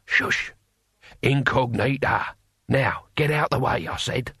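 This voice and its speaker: A man's voice: medium pitch, slow pace, bright, forceful tone, no room sound, full character voice. Man as very old barbarian warrior, comic fantasy audiobook, cackling, colloquial, breezily menacing, dry close recording. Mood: impatient hushing. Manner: cackling, rough colloquial speech.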